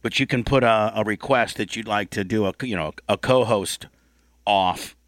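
A man's voice: fairly low pitch, moderate pace, a bit bright, dry recording. A man hosting a loose comedic radio show, rambling and bossy as he teases the people around him.

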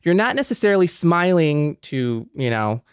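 A man's voice: a little high, very animated, moderate pace, clean tone, no room sound, studio quality. A man co-hosting a podcast in casual chat, rambling as he thinks aloud and restarting his sentences. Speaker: podcast co-host.

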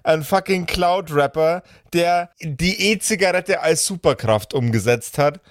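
A man's voice: fairly high pitch, unhurried, no room sound, clean studio sound. A man running a tabletop game podcast as game master, sardonic, mock-exasperated and sweary in casual talk.

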